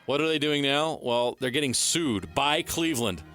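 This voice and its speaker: A man hosting a sports radio show, briskly reading headlines and teasing his co-host. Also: mid-range voice, bright, forceful tone, soft background music.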